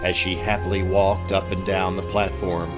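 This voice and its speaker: A man playing a mysterious storyteller, narrating an old-time radio suspense tale in a deliberate, dramatic way. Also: low voice, little pitch movement, close to an everyday voice. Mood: suspenseful. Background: music.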